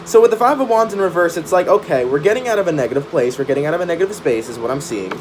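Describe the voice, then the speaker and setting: A man's voice: a little high, very fast. A man giving a casual, chatty live-streamed tarot reading, full of fillers and "right?" tag questions. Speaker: tarot reader. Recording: steady background noise.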